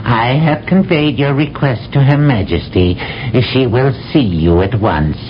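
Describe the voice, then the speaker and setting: A man's voice: unhurried, close to an everyday voice. Man playing a stiff, indignant alien colonel in an old radio play, dull lo-fi sound. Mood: officious. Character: zealous male alien colonel, officious. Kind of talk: 1970s radio drama acting. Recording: dull old radio broadcast with faint rumble.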